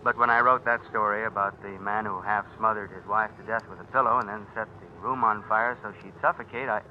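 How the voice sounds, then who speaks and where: An actor in an old radio drama playing a smug, menacing blackmailer, a writer who talks with insinuating mock politeness. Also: male voice, on the low side, steady tone, fairly quick, natural voice. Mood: smug insinuation. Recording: dull, noisy old radio recording.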